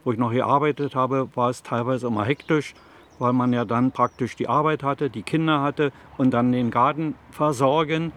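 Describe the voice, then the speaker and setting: A retired man in his seventies answers interview questions, telling stories in a calm, plain, rambling way. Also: mid-range voice, normal speed. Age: 70s. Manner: calm, plain, rambling storyteller with fillers like "also" and "eben". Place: outdoors in a city allotment garden.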